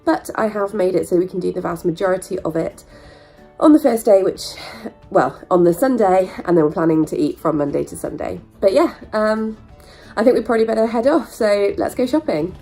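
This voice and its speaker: A young woman, hoarse from a sore throat and stuffed up, chatting casually to camera as a vlogger with plenty of ums and rambling asides. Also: medium pitch, expressive, brisk, dark tone, faint music behind. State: croaky sore throat, congested.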